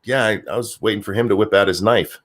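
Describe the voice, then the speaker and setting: A man hosting a podcast, chatting casually and reading news articles aloud with a jokey, animated delivery. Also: lowish voice, quick pace. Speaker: podcast host.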